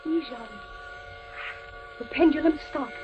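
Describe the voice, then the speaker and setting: Urgent, pleading high-voiced sweetheart. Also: female voice, slow. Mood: worried. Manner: earnest, pleading, theatrical. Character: devoted, urgent sweetheart of a condemned prisoner. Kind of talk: 1940s radio acting. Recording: muffled, noisy 1940s radio recording.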